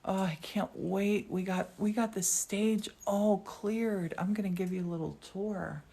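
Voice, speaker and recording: Gravelly, unhurried woman chatting over workshop noise. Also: medium pitch, fairly even intonation, mellow. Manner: enthusiastic, chatty. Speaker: glass artist hosting a live studio demonstration. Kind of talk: casual live-stream chat and commentary. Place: glass studio workshop.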